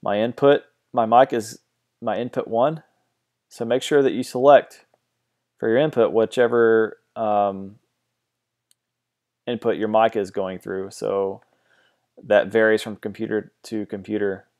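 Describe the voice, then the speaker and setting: A man with a fairly low voice explains a music-software routing setup in a casual, halting way. He stops and restarts often and fills gaps with ums. It sounds like a mono mic in a home recording setup. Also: fairly even intonation. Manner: hesitant, many ums and restarts. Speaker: tutorial host.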